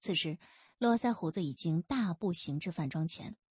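Husky woman narrating a novel, very expressive. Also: on the low side, normal speed, no echo. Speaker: audiobook narrator.

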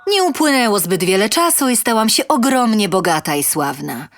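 A woman playing a self-satisfied, sensual lonely inventor, telling her comic tale in a theatrical, expressive manner. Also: mid-range voice, normal speed, over music. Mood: wry, bored. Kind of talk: dramatic comic story narration.

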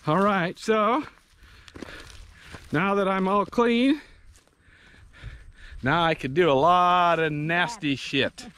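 Slow-talking man joking outdoors. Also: high-pitched, a bit bright, a little hiss or hum. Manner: joking, easygoing commentary. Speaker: vlogger. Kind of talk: casual vlog narration. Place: outdoors on a rural farm. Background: faint rural outdoor ambience.

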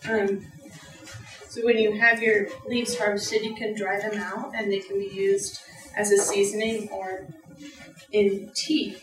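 A woman's voice: mid-range voice, level tone, a little slow, over music. Young woman reading her herb talk off notes, echoey classroom, mic way back. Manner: earnest, reads from notes with fillers. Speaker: student presenter. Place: lecture room with audience. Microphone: far camera. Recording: echoey room from far off.

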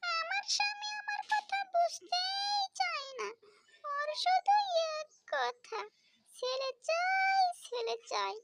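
A woman voicing a gentle, anxious young village wife and mother in a cartoon tale, with a pleading manner. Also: very high voice, takes it slowly, gravelly, heavy background noise, exaggerated voice. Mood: tearful. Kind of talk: cartoon fairy-tale voice acting.

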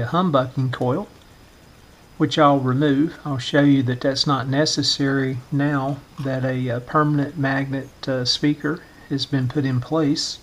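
A middle-aged or older man narrating a hands-on demonstration in a measured, explanatory way, often pausing mid-phrase. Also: mid-range voice. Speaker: vintage radio restorer giving a demonstration.

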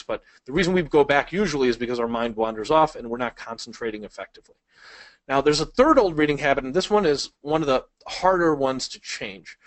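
A man in his thirties teaching an online video lesson on reading, in a casual, conversational way with frequent fillers like "you know" and "uh", speaking into a headset mic in a small room. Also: medium pitch. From Chicago, United States. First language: Polish. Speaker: speed reading instructor.

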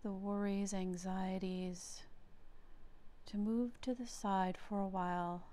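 Female meditation guide, therapist type: very slow, soft-edged and mellow, gentle phrasing with plenty of space. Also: medium pitch, flat delivery. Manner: gentle, unhurried, long pauses between short phrases. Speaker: psychotherapist leading meditation.

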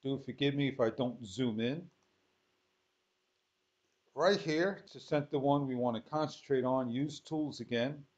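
Slow-talking man, dull lo-fi recording. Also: medium pitch, darkish tone. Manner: halting, thinking aloud in short phrases. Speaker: software tutorial host. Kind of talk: unscripted screen-recorded tutorial. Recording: dull lo-fi sound.

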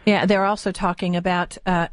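A woman's voice: fairly low pitch, level tone, normal speed, no echo. An American woman hosting a live call-in radio interview. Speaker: radio talk show host. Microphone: close broadcast studio microphone.

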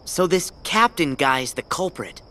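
A man's voice: a little high, expressive, measured pace, music in the background. An actor voicing an upbeat, loyal teenage boy hero in an earnest youthful voice.

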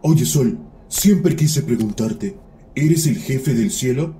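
A man voicing a sly one-eyed giant from the underworld, flattering and goading in a cartoon tale. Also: mid-range voice, slow. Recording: music behind the voice.

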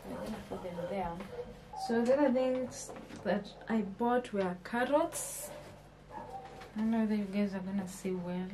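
A woman's voice: medium pitch, normal speed, background noise. A young woman with a Kenyan accent chatting to her viewers in a casual haul vlog, asking them questions and often restarting her phrases, recorded in a small home kitchen on a camera at arm's length. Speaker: vlogger.

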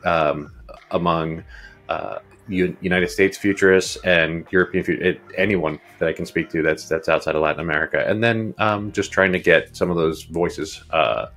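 A man's voice: deep voice, a bit bright. A man in his fifties, a guest futurist, answering at length with many ums and restarts over a video call from a home office. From Uruguay. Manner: rambling, many fillers and restarts. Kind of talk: casual interview answers. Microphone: earbuds on video call.

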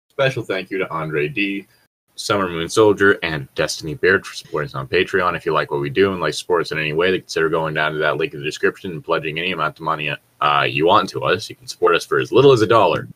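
A man's voice: extremely low pitch, quick pace, fairly bright tone. A man bantering casually and reading aloud as a show host, with a sweary, exasperated manner.

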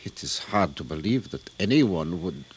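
A very low-voiced, sorrowful Cuban widower speaks formal, halting English with a Spanish accent. He is courteous and grave, in the manner of old radio drama. The recording has a faint noise. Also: very animated. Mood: grieving, shocked. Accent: Spanish-accented English, Cuban character. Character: grief-stricken, courteous Cuban widower, a businessman. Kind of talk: 1950s radio drama acting. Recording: noisy old radio broadcast.

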